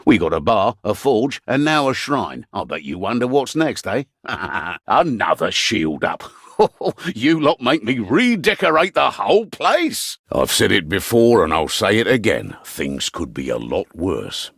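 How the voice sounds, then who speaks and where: Fantasy game quartermaster: middle-aged Englishman, gruff, dryly humorous, hugely varied intonation, close dry studio sound. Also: lowish voice, very animated, measured pace, a bit bright, dry recording, exaggerated voice. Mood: dry banter. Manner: wry, blunt, colloquial banter. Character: gruff, wry veteran innkeeper and mission quartermaster, male. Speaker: quest-giver in a fantasy game. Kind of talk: fantasy video game character barks.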